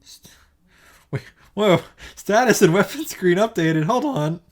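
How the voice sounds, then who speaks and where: A man's voice: high voice, expressive, measured pace. A man hosting a game reaction, reading visual novel text aloud and adding informal, sweary commentary. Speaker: video game reaction host. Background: game music.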